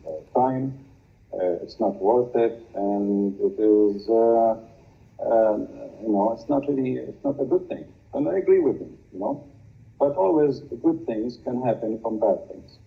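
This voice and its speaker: A man whose first language is Arabic, speaking as a guest in a halting, hesitant way with many fillers. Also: fairly low pitch, creaky. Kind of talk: casual discussion. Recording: tinny phone-like line.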